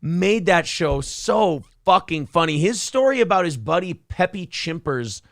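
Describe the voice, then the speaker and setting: A man hosting a casual talk show, with a voice on the higher side that swings widely up and down. He rambles in a loose, profane way and slips into goofy quoted voices. The sound is close and dry. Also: lively intonation, measured pace, no echo. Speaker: podcast host.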